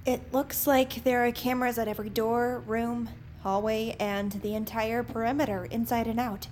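A woman's voice: fairly high pitch, level tone, normal speed, darkish tone, a little hiss or hum, close to an everyday voice. A woman playing a sarcastic, urgent young female friend in an audio drama, easily exasperated. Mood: serious, tense.

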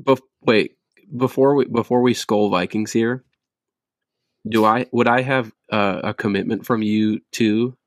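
A man's voice: lowish voice, fairly even intonation, measured pace, dry recording, clean studio sound. A man hosting a casual sports podcast, laid-back and stumbling through restarts. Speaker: podcast host. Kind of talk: casual chat.

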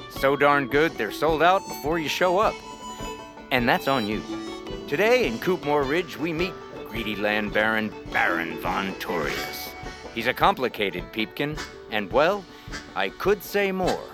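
A chipper vintage-style radio announcer, showy and tongue-in-cheek. His voice sits fairly high and moves in lively swoops, with music behind. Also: measured pace. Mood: showy, upbeat. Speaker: announcer and narrator.

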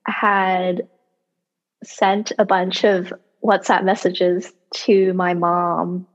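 A woman whose first language is Cantonese, an author and audiobook narrator answering interview questions in a thoughtful, measured way with light laughs. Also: lowish voice, level tone, dull audio.

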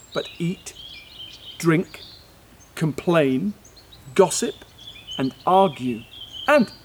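A man's voice: on the high side, darkish tone, a little hiss or hum, natural voice. An actor playing a disillusioned middle-aged father, lamenting earnestly in a naturalistic audio play. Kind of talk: naturalistic audio drama monologue.

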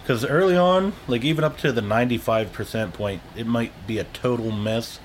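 A man's voice: medium pitch, moderate pace, slight background noise. A man chatting casually as an interviewed sound engineer, explaining things in a rambling, colloquial way.

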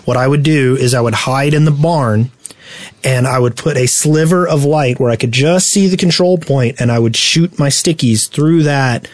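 Halting man explaining game tactics, dry close sound. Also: medium pitch, dry recording. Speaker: podcast host. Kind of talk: casual gaming podcast discussion, explaining tactics.

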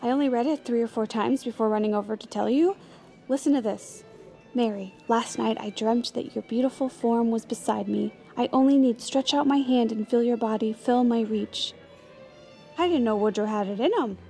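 An actress playing an eager, naive, gossipy confidante who gushes romantically in an audio comedy drama. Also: female voice, a little high, normal speed, vocal fry, mellow, faint music behind.

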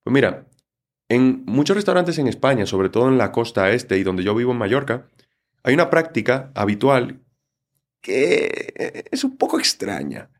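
A middle-aged man from the Dominican Republic talks as a guest on a podcast. He explains communication skills in a storytelling way with lively emphasis. His voice sits at an ordinary male pitch. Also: normal speed. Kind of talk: conversational interview answers.

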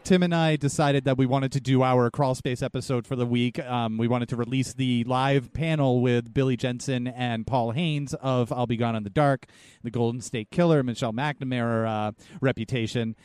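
A man co-hosting a podcast, telling stories in lively, teasing casual chat. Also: mid-range voice, moderate pace, smooth, no room sound. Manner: animated storyteller, playful teasing. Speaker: podcast co-host.

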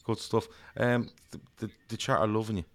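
A man hosting a football call-in show, briskly steering the conversation between callers. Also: on the low side, fairly even intonation, a loud crowd. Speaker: host.

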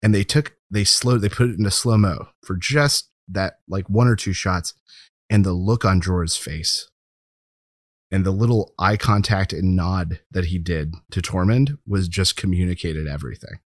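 A man's voice: low-pitched, moderate pace, no echo. A man co-hosting a podcast, chatting casually and enthusiastically about a TV show. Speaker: podcast co-host.

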